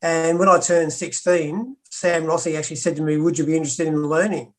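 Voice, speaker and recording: Older Australian man, flat steady telling. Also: fairly high pitch, brisk. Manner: steady, matter-of-fact recounting. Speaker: guest, former pro wrestler. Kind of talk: interview storytelling.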